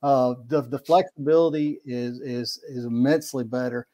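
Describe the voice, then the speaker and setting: A man's voice: mid-range voice, fairly even intonation, normal speed, warm tone. A middle-aged man, talking in a rambling, folksy way as a guest on a video call, often repeating words. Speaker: podcast guest, kayak angler. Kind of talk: casual video-call interview. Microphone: video call webcam mic.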